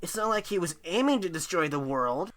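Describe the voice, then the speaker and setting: Woman voicing a cocky, animated young hedgehog hero. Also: lowish voice, brisk, natural voice. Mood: pleading, insistent. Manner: teasing, breezy, animated. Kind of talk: cartoon comic dub acting.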